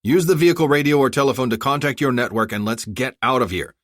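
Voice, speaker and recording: A man voicing a gruff, curt and menacing soldier in an armored suit, in an audiobook. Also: medium pitch, fast talker, fairly bright tone, no echo, studio quality, voice pushed up, lightly acted. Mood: angry, menacing. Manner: curt, commanding.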